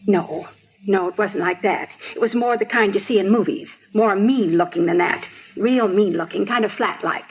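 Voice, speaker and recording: A woman's voice: mid-range voice, moderate pace, breathy edge, natural voice. A woman playing a fussy, chatty older bank clerk who rambles indignantly, in a 1950s radio drama. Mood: shaken, rambling. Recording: muffled 1950s radio recording, faint hiss.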